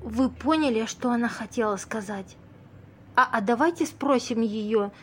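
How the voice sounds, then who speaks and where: A woman acts out a naive young woman in an audio play. She has a fairly high voice and speaks slowly, in a curious, questioning way with some hesitation. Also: a little hiss or hum, natural voice. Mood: nervous, puzzled. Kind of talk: amateur audio play acting.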